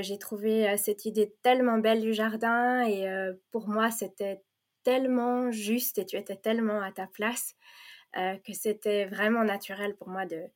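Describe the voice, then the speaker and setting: A woman from Provence who grew up in Belgium speaks as a guest in an easy conversation. She pauses often, fills gaps with small sounds and restarts her sentences. Her tone stays earnest and heartfelt. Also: mid-range voice. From born in Provence, raised in Belgium. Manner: hesitant, many fillers and restarts, warm and earnest. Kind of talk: casual conversation.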